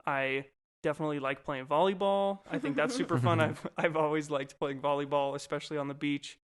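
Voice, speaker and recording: A man's voice: fairly high pitch, fairly even intonation, brisk, clear, resonant tone. A man in his twenties, co-hosting a podcast, talking about himself in a rambling, easygoing way with lots of fillers. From Colorado, USA. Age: 20s. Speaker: podcast co-host. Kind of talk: casual chat.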